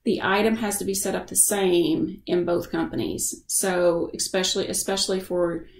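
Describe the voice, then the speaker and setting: A woman giving a casual software tutorial as a screen-share voice-over, explaining informally and thinking aloud. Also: on the low side, fairly even intonation, normal speed, gravelly, roomy sound, a little hiss or hum. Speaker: software instructor.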